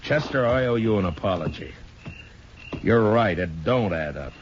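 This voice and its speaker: An actor playing a terse, stern middle-aged American frontier marshal in a 1950s radio western. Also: male voice, lowish voice, expressive, moderate pace, close to an everyday voice. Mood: thoughtful. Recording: muffled, noisy 1950s radio recording.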